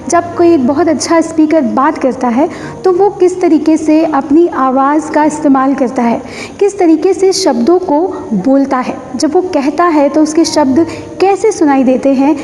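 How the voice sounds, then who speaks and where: A woman speaks in a high-pitched voice, presenting tips as an instructor. She explains step by step and restates key points for emphasis. The recording carries a long, echoing room reverb. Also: a little mellow. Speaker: host of a public speaking tips channel. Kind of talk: instructional talk to camera.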